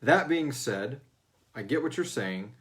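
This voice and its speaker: A man's voice: mid-range voice, lively intonation, unhurried, a bit bright. Casual, profane man hosting a Q&A. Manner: informal, profane, jokey. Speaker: host of a fan Q&A show. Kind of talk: casual chat answering listener questions.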